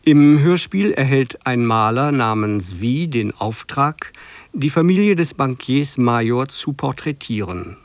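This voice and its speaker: A man's voice: medium pitch, animated, a little slow, no room sound. A man presenting a radio play with a scripted, explanatory introduction. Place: dry studio.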